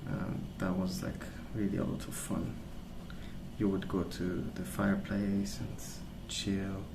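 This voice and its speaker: A man speaking softly as an ASMR host, rambling through stories in a hesitant, pausing, unhurried way. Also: low-pitched, completely flat delivery, background noise. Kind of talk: soft-spoken ASMR rambling.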